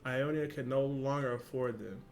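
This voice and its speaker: A man's voice: mid-range voice, normal speed, slight background noise, lightly acted. A man reading aloud the lines of a weary, cold male rival ninja from a story, in his own voice. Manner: read aloud plainly from a story.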